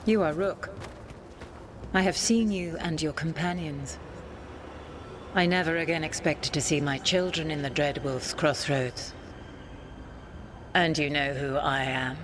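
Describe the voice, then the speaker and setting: An actress playing an imperious, wounded fragment of an ancient goddess, who speaks in a commanding, scornful way in a video game cutscene. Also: female voice, low voice, unhurried, pauses often, a little hiss or hum. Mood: weary.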